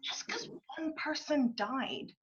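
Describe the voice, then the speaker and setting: Woman doing a cheeky sarcastic theatre guy, highish bright voice, muffled internet call sound. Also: slow, a little hiss or hum. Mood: sardonic. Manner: sarcastic, needling. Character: cheeky, sardonic male stage actor who heckles his colleagues. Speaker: amateur actor in a remote group reading. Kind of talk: comic stage-play reading. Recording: dull, lo-fi internet call recording.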